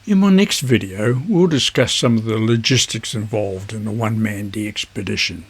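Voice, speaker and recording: A man with a husky voice, presenting an instructional vlog in measured phrases. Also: lowish voice. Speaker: amateur radio video host.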